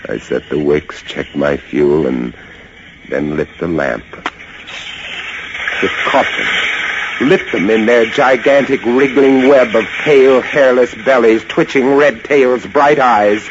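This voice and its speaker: A brooding lighthouse keeper narrating vintage radio horror. He speaks slowly and dramatically at a medium pitch, with haunted intensity. The old recording is muffled, with clear noise over it. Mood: eerily calm. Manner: dramatic, brooding, swinging from hushed to feverish.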